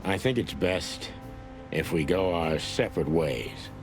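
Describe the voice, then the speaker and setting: A low, world-weary old rooster gumshoe in a noir detective game. He speaks tersely and broodingly, a gruff loner who puts others first. Music plays behind him. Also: animated, unhurried. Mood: grave, resigned. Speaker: narrator and lead detective. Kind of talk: hardboiled film noir voice acting.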